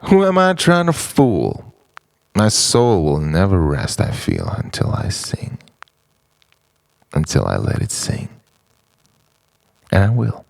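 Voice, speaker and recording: Very deep, creaky-voiced man musing poetically. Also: wildly swooping pitch, no room sound. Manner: deliberate, halting phrases with dramatic pauses, dropping at times toward a whisper. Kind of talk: introspective spoken-word monologue, poetic letter to himself.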